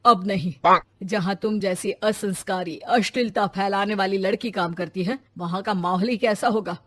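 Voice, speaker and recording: A woman's voice: medium pitch, fairly even intonation, moderate pace, no echo, lightly acted. A woman playing a judgmental middle-aged man who scolds and moralizes in a moral story. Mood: scornful. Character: preachy, judgmental middle-aged male airline passenger and investor.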